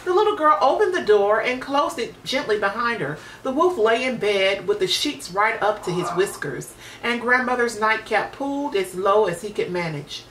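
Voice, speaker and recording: A woman's voice: a little high, animated, moderate pace, a bit bright. A woman narrating a children's storybook expressively. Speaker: storybook reader. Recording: roomy, distant mic with faint noise.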